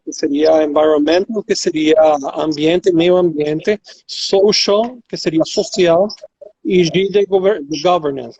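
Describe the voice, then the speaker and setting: A man's voice: a little high, creaky, a little mellow, husky, no room sound, highs cut off. A middle-aged man with a Venezuelan accent, Spanish his first language, explaining as a guest expert in an online chat with many fillers and restarts. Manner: hesitant, many fillers and restarts, slow in places.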